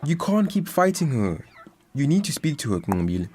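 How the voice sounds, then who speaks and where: A man's voice: mid-range voice, very animated, moderate pace, a little mellow, slightly rough. A man playing a patient, persuasive friend in a radio drama, coaxing and reasoning. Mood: patient persuasion.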